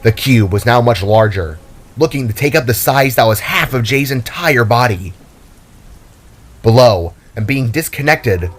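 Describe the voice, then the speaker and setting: A man narrating a scripted fantasy story in a dramatic storytelling style. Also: medium pitch.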